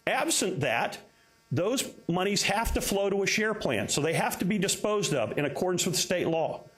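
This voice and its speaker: A man's voice: sits high, big pitch swings, normal speed, fairly bright tone, rough recording. A man giving firm, fluent, matter-of-fact explanations as a union representative at a public council meeting.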